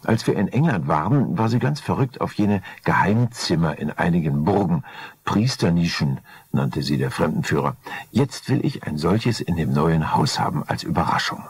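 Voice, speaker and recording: A man voicing a smooth, scheming husband with a gravelly voice, ingratiating and calculating, in an audiobook crime story. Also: deep voice, expressive, moderate pace, exaggerated voice. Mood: confidential.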